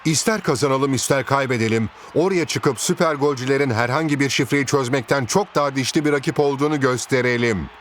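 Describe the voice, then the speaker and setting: A man voicing a steady, rallying football team captain in a cartoon, commanding and encouraging. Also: medium pitch, a little fast, hardly any pauses, lightly acted. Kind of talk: animated cartoon dubbing. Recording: clear background noise, ambience.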